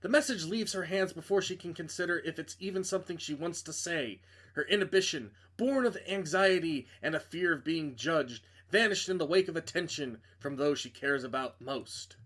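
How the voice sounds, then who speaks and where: Higher-pitched man doing a game playthrough. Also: normal speed, fairly bright tone. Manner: reads narration dramatically, stumbles and breaks off for blunt asides. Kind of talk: let's play, reading story text aloud with casual commentary.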